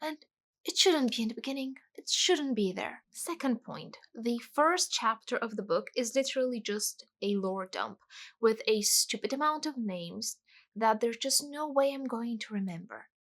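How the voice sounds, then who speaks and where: A woman's voice: on the high side, expressive, moderate pace, a bit bright. A woman from Bulgaria, ranting animatedly and emphatically as a casual book vlogger. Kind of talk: casual book review rant.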